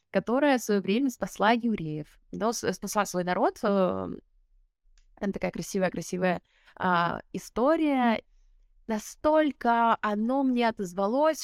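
A woman's voice: medium pitch, dry recording, studio quality. A woman in her twenties, a guest in an interview, talking reflectively with many fillers and restarts. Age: 20s. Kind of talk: casual interview talk.

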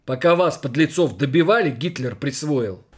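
A man acting as a resolute young lieutenant and army doctor in a war radio drama. His high voice is urgent and clipped, used to giving orders. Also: expressive, moderate pace, husky. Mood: firm, curt. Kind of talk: Russian radio play acting.

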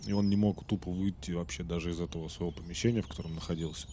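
A man hosting a podcast, telling a story in a casual, rambling way with many fillers, while slightly sick and clearing his throat now and then. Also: deep voice, steady tone, racing, mellow, a little hiss or hum. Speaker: podcast host.

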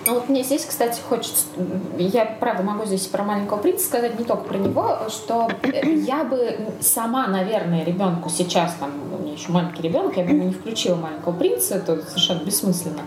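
A woman's voice: mid-range voice, lively intonation, fast talker, hardly any pauses. A woman in a casual discussion, speaking reflectively and tentatively, often qualifying what she says. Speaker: podcast co-host. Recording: echoey room with clear background noise.

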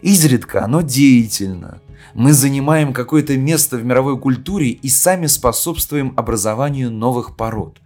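A solemn male poet acted by a man delivers a grave oration as part of an audio play. His delivery is measured and declamatory, with weighty, emphatic pauses. His voice is mid-pitched for a man, and music plays behind him. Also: animated, darkish tone, natural voice. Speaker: reader of a poet's speech. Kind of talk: dramatic literary recitation in an audio play. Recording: music under the voice.

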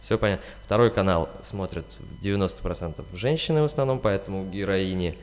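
Male screenwriter guest, deep voice, casual explainer, hall-like reverb. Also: fast, a little mellow.

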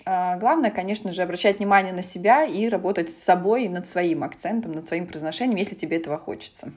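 A woman who coaches English pronunciation, a podcast guest, speaking thoughtfully and pausing with hesitation sounds. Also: on the low side, darkish tone. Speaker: guest, British accent specialist. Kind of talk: casual podcast conversation.

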